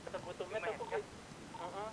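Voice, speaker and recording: A man's voice: high-pitched, normal speed, crowd noise. A young man chatting urgently in slang during an online game, often repeating himself, other players talking over him. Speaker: gaming streamer, roleplay player. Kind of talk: casual game voice chat. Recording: busy voice chat with overlapping voices.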